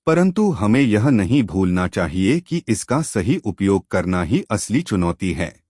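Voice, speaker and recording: A man voicing a wise, gentle older village mother-in-law in a storybook, giving patient advice. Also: lowish voice, sing-song, measured pace, no room sound, clean studio sound. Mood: calm advising. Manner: patient, advising, moralising.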